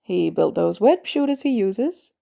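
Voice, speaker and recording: A woman voicing a gruff, low-key man in a story reading, mumbling and deadpan. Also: unhurried, heavily acted. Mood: quietly proud.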